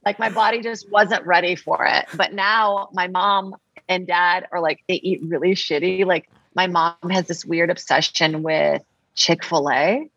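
A woman guest chatting casually, animated and acting out family voices in her stories. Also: fairly low pitch, a little slow, no echo. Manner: animated, acts out voices in anecdotes. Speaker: guest, comedian. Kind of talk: casual storytelling. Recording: dull lo-fi sound.

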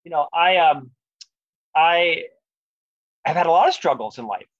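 A man with a noticeably high voice and a lifelong stutter talks earnestly and reflectively about leadership as an interview guest. The sound is dry and slightly dull, with little room in it. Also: lively intonation, a little slow, dry recording. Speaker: guest, author and leadership speaker. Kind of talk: podcast interview conversation.

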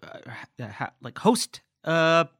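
A man hosting a podcast, teasing his guest in quick comic banter on a close mic. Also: a little high, big pitch swings, a bit bright, breathy edge, no room sound. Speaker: podcast host. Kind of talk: casual comedic chat.

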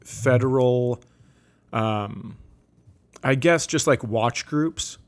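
A man's voice: mid-range voice, drawn-out words. A man who works as a comedian, hosting a podcast with casual, teasing, joking talk.

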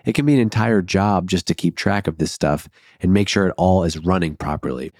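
A man's voice: low-pitched, brisk, a little mellow, no room sound, studio quality. A man hosting a podcast, reading an ad and chatting with loose, jokey asides. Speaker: podcast host. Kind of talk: casual podcast chat and ad read.

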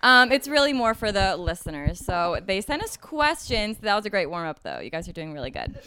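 A woman's voice: mid-range voice, huge pitch swings, brisk, sharp tone, slight background noise. A woman hosting a casual podcast with friends, chatty and bubbly, using lots of fillers. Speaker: podcast host. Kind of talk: casual chat and read ad. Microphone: shared podcast mic.

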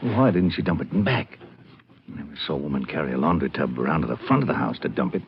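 A deep-voiced, blunt country sheriff, suspicious and folksy. His delivery is animated and badgering, in the manner of a 1950s radio western. The recording is muffled with a faint hiss. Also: quick pace, gravelly, exaggerated voice. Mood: puzzled, eager.

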